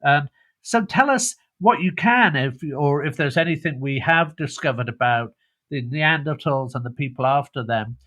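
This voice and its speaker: A man's voice: on the high side, expressive, normal speed, fairly bright tone. A British man hosting a podcast interview, asking long, rambling questions full of restarts. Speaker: podcast host. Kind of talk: conversational interview.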